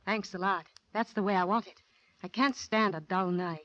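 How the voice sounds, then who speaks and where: A woman's voice: medium pitch, normal speed, a bit bright, dry recording, natural voice. A woman acting a bold, sly young housekeeper in 1940s radio drama, with an insinuating, teasing, overly familiar manner. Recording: vintage 1940s radio, dull with hiss.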